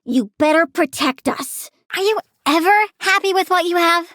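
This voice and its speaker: A woman's voice: very high voice, moderate pace, fairly bright tone, no echo, clean studio sound. A woman voicing a bratty, petulant young girl villain with plant powers in a video game, talking in a childish, cheeky way. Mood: petulant, annoyed, then snide.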